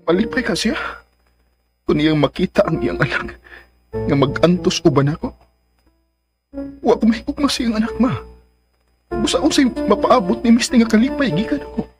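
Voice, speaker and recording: An actor playing a stubborn, anguished, lovesick grown son in a radio drama, with a Filipino accent. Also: male voice, high voice, lively intonation, normal speed, pauses often, over music. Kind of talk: Philippine radio drama acting.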